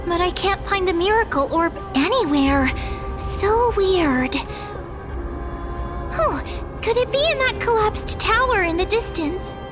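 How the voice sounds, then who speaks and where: A woman's voice: very high-pitched, lively intonation, measured pace, pauses often, music in the background. A woman voicing a small, cheerful pink fairy companion in a video game, cutesy, childlike and earnest. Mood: eager, helpful. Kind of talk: video game character acting.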